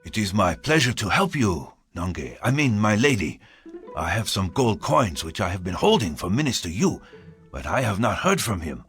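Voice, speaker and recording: An actor playing an honorable, commanding admiral in a video game, speaking in a formal, stately way. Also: male voice, fairly low pitch, very animated, moderate pace, a bit bright, soft background music. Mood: courteous, gentle. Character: honorable Korean admiral, commanding yet courteous man. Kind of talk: scripted video game voice acting.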